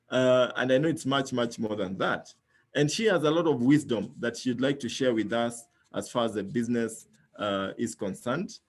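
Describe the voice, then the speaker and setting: A man hosting an online business talk, persuading guests and often asking "yeah?". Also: mid-range voice. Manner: persuasive, calls for agreement with "yeah?". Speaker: host.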